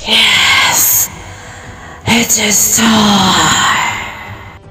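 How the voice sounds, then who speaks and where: A woman voicing a menacing, regal serpent king in a storybook tale, slow, commanding and imperious. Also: medium pitch, fairly even intonation, loud music behind the voice. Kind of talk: storybook character acting.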